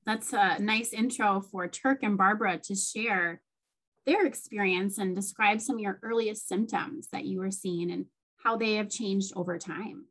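A woman's voice: mid-range voice, fairly even intonation, moderate pace, fairly bright tone, poor audio. A young woman in her twenties moderating a panel discussion over a video call, steadily leading with questions.

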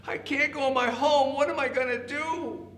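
A man's voice: very high voice, fairly even intonation, normal speed, fairly bright tone, voice pushed up. A man voicing a timid, worried rabbit in a children's story, anxious and pleading. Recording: echoey room, faint background noise.

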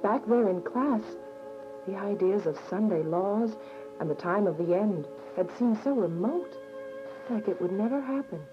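A woman's voice: medium pitch, animated, measured pace, close to an everyday voice. A young woman playing an earnest, devout teenage daughter who narrates a radio drama. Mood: earnest, troubled. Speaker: narrator and lead character. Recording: muffled radio drama, music behind.

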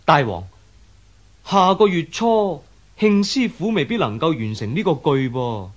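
Lively, deferential Cantonese court minister. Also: male voice, a little high, measured pace. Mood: cautious, earnest. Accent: Hong Kong Cantonese. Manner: deferential, formal, earnest. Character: respectful, cautious court minister serving an ancient king. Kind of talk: Cantonese radio drama acting.

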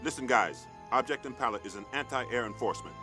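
An actor playing a gruff, weary army squad sergeant in a military video game, speaking tersely and with command. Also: male voice, mid-range voice, fast talker, music in the background, close to an everyday voice. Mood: dry, weary. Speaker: squad leader.

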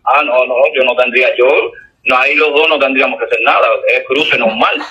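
A man with a Cuban accent talking casually on the phone in a confused, halting, repetitive way. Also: mid-range voice, fairly even intonation. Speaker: call recipient, driving test candidate. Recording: dull telephone line with faint noise.

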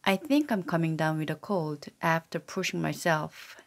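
A woman's voice: deep voice, normal speed. A woman's AI-generated voice reading sentence drills for a language lesson, evenly and carefully. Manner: even, careful read-aloud of repeated sentences. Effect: AI text-to-speech voice.

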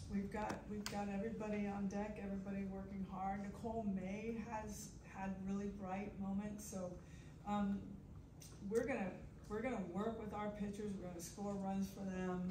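Emphatic woman coach, distant roomy sound. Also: mid-range voice. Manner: upbeat, emphatic, repeats words while thinking. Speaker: head softball coach. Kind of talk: postgame press conference answers. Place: press conference room. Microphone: table mic heard from the audience. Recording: roomy, distant, noisy phone recording.

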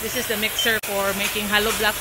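A woman with a Filipino accent giving a casual, halting walk-through narration as a vlogger, recorded on a handheld camera in an open-sided factory. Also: mid-range voice, level tone. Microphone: handheld camera microphone. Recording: noisy industrial workshop, loud ambient clatter.

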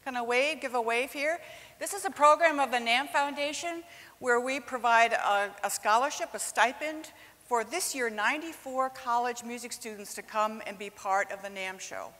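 A woman's voice: fairly high pitch, normal speed, a bit bright. A woman in her sixties hosting a live event on stage, upbeat and chatty, speaking into a handheld mic. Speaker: host. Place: large indoor stage. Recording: stage mic in a big hall.

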